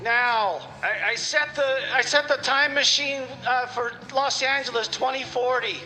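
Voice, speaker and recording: A man voicing an excitable, scatterbrained time traveler in comedy radio theater, stumbling over his words. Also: very high-pitched, a little slow, exaggerated voice, rough recording. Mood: curious, urgent.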